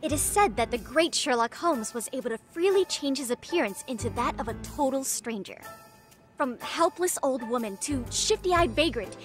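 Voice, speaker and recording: A woman's voice: high-pitched, lively intonation, moderate pace. A woman playing a self-important teenage schoolgirl who leads a detective club in a video game dub, with pompous, precise, dramatic phrasing. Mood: grandiose, smug. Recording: music under the dialogue. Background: music.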